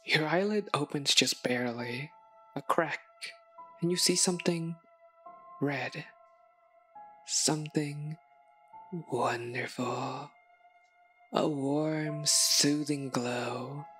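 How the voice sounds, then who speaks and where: A woman narrating a scripted second-person story in a measured way, with dramatic pauses. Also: very deep voice, fairly bright tone. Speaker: narrator, audio roleplay voice performer.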